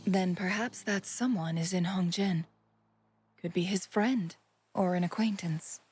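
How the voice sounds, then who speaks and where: Composed, low-voiced warrior woman voiced by an actress. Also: a little mellow, lightly acted. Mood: serious, composed. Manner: measured, polite. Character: composed, courteous female warrior, calmly authoritative. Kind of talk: scripted game dialogue.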